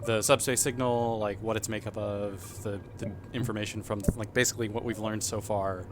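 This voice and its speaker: A man's voice: on the low side, level tone, moderate pace, music in the background. A man playing in a tabletop role-playing game, searching for his words as he talks. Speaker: player.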